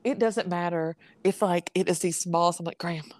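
A woman's voice: fairly low pitch, fairly quick, darkish tone, no room sound, clean studio sound. A woman with a Southern US accent in casual chat as a podcast co-host, telling stories in an emphatic, dramatic, gossipy way.

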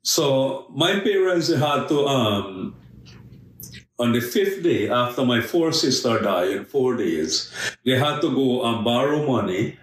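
A man from Guyana, a missionary guest telling his life story in a podcast interview, slow and earnest with emphatic stresses. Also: medium pitch, lively intonation. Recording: echoey room, voice from a distance.

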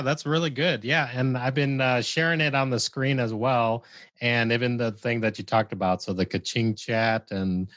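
A man's voice: medium pitch, fairly bright tone, dry recording. A man hosting a podcast, upbeat and encouraging, affirming his guest and reading the show's outro. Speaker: podcast host. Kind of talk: casual interview hosting and outro.

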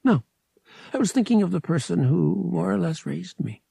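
A man voices a wry young male pilot in an audiobook. He talks casually and a little hesitantly, with slang. The voice is husky and swings widely in pitch, recorded close and dry. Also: animated, normal speed, warm tone, dry recording, exaggerated voice. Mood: wistful.

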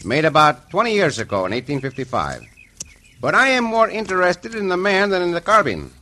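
An actor playing a confident, courteous Mexican adventurer in an old radio western, speaking formally with a Mexican accent. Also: male voice, sits high, big pitch swings, sharp tone, exaggerated voice. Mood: calm, assured. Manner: formal, courteous, measured, self-assured. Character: the Cisco Kid, suave confident Mexican adventurer hero. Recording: noisy old radio show recording.

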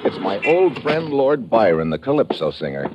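An actor playing a wry, slangy investigator in an old-time radio detective drama. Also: male voice, mid-range voice, sing-song, normal speed, natural voice. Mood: wry, tense. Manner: laconic, wisecracking, slangy. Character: wry hard-boiled American investigator, adult man. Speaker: lead actor and narrator. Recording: muffled old radio recording, music behind.

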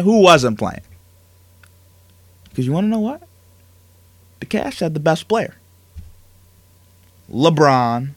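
A man's voice: on the high side, fairly bright tone, no room sound. A man hosting a sports talk show, ranting emphatically with rhetorical questions, then chatting with a guest. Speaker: sports talk radio host.

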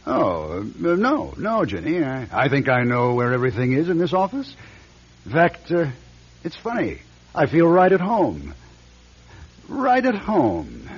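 An American man playing a smug, calculating board chairman in a radio drama, his voice husky. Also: fairly high pitch, huge pitch swings, a little slow, a little hiss or hum. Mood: self-satisfied. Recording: dull radio drama recording.

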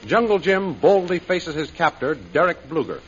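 A male announcer narrates a 1930s adventure serial. His voice is fairly high and very animated, with declamatory drama. It comes through a dull old recording. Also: normal speed, soft background music. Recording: muffled, lo-fi old radio recording.

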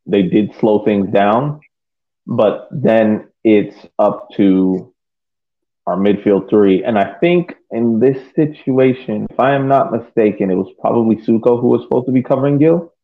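A man co-hosting a sports podcast, giving casual soccer analysis in a measured, hesitant way, repeating phrases as he reasons. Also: fairly low pitch. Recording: tinny phone-like connection.

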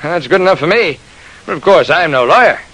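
This is a man acting as a big, hearty manager who talks in blunt, clipped phrases. His pitch is raised and moves in lively steps. The style is classic radio drama, heard on a vintage recording. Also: a little fast, poor audio. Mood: bluff, assured.

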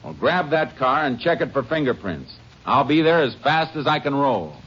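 An actor playing a determined Texas Ranger investigator in a radio drama, speaking and narrating in a clipped, matter-of-fact way. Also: male voice, mid-range voice, very animated, moderate pace, natural voice. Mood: brisk. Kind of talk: 1950s radio drama acting with first-person narration. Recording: noisy, muffled 1950s radio recording.

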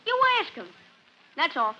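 Know-it-all schoolboy, stubborn, argumentative, female performer, very high, sharp voice, vintage radio serial. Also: very animated, unhurried, highs cut off, full character voice. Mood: stubborn, insistent. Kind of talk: old-time radio drama acting. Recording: faintly hissy vintage radio recording.

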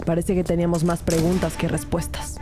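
A woman's voice: lowish voice, little pitch movement, brisk, over music, close to an everyday voice. A woman with a Latin American Spanish accent playing an earnest young trainee detective in a scripted crime audio drama. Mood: grave narration. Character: young female trainee detective narrating her case, earnest and eager. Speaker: narrator and lead actor.

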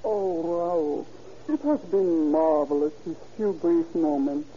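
An actress playing an affected, melodramatic film diva, grandiose and self-pitying, in old-time radio style. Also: female voice, low-pitched, slow pace, loud music behind the voice. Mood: lovelorn, melodramatic. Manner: grandiose, theatrical, sighing. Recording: muffled vintage radio with faint noise.

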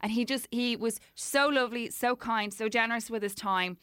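A woman's voice: a little high, normal speed, fairly bright tone, clean tone, dry recording, studio quality. A woman from Dublin with an Irish accent, chatting informally as a co-host and telling anecdotes. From Dublin, Ireland. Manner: chatty, informal, frequent filler "like". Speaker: co-host of a talk show. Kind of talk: casual banter and anecdotes.

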